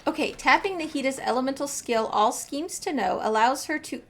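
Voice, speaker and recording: A woman's voice: high voice, a little fast, a little hiss or hum. A woman chatting casually and enthusiastically as she comments on a game during a live stream. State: getting over a cold.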